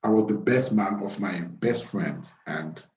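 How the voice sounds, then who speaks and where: Low-voiced man in a roomy space. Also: a little mellow. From Cameroon. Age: 30s. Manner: halting, repeats phrases. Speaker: webinar host. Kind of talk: informal live webinar talk. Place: small plain room indoors. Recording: roomy, dull webcam audio.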